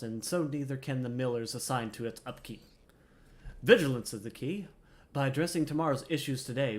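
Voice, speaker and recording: A man's voice: medium pitch, pitched below the performer's own voice, natural voice. A man voicing a solemn, dutiful miller giving orders in formal, theatrical fantasy game dialogue.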